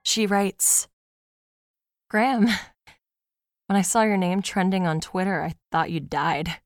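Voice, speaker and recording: A woman's voice: medium pitch, slow pace, no echo, clean studio sound, faint music behind. A woman playing a podcast host who reads out a fan's email in a casual, wry, sweary storytelling way for an audio drama. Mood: amused. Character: podcast host reading a fan's confessional horror-comedy email aloud. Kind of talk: scripted audio drama monologue, conversational storytelling.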